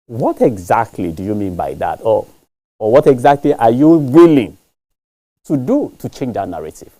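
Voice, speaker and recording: A man hosts a political interview, asking probing questions in a halting way. His voice is mellow and dark. He often restarts before he lands the question. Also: medium pitch, sing-song.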